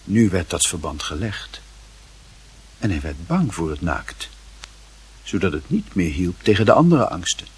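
A man narrating a literary radio play in a grave, measured manner, with a very husky, rough voice. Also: low-pitched, sing-song.

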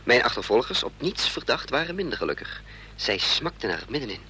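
A man's voice: medium pitch, sing-song, a little fast, noisy background, close to an everyday voice. An actor playing a resolute, bitter man on the run in a radio drama, with intense, clipped, dramatic delivery. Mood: grave narration. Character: hunted male amnesiac double, resolute, driven, bitter. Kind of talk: radio drama acting with first-person narration.

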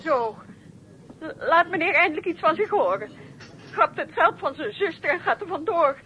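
A woman playing a furious, scolding mother in a Dutch radio play, shrill and bitterly sarcastic over a telephone. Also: big pitch swings, normal speed. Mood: furious, scornful. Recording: dull, noisy telephone line.

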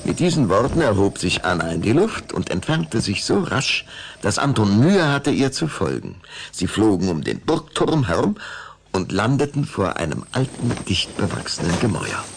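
A man narrating a scripted children's audio story in an expressive, storytelling manner. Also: moderate pace. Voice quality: deep, gravelly.

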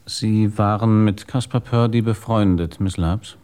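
A man's voice: fairly low pitch, unhurried, mellow, smooth, dry recording, close to an everyday voice. An actor playing a seasoned, sardonic male police inspector in a German radio crime drama. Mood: questioning. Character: seasoned, dry-witted male New York police inspector.

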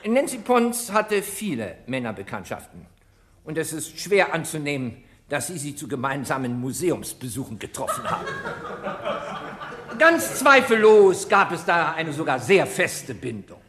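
A relentless prosecutor from a radio crime play, acted by a man. His voice sits high and swings widely as he probes and mocks the defendant. He sounds sharp and sarcastic. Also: sing-song, measured pace. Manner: probing, sarcastic cross-examination. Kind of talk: German radio crime drama.

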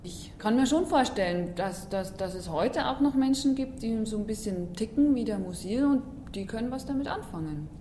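Eine Frau, die in einem Interview frei und nachdenklich abwägend spricht. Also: female voice, a little high, animated, normal speed, hardly any pauses, mellow. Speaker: bookseller, interviewee. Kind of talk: spontaneous interview talk. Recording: roomy, background ambient noise.

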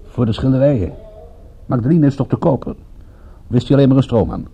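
An actor playing a wry, laconic private detective in a radio play, dry and ironic in dialogue and narration. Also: male voice, medium pitch, animated, fast talker, vocal fry, dark tone, slightly rough, dull audio. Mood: dry, guarded. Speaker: lead actor and first-person narrator. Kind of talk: Dutch radio drama acting and narration.

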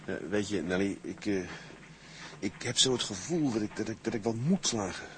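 A man's voice: on the low side, normal speed, a bit bright, a little hiss or hum, lightly acted. A man playing an anxious young sailor in a Dutch radio drama, speaking hesitantly and repeating himself. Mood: worried. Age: young adult. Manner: hesitant, stammering repetitions. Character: anxious young sailor studying for officer's exam.

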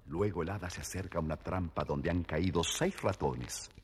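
Deep-voiced male storyteller. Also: normal speed, slightly rough. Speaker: storybook narrator. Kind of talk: expressive scripted children's narration. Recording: reverberant old record, faint noise.

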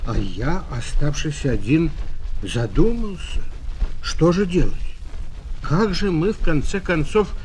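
Exasperated tutor-narrator for a vintage children's radio play; lively, theatrical, animated pitch, noisy vinyl sound. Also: male voice. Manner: expressive, emphatic, fussily exasperated. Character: exasperated adult tutor of a little girl, narrating. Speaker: narrator and actor. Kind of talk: theatrical children's storytelling, Soviet audio play. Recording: noisy 1970s flexi-disc record.

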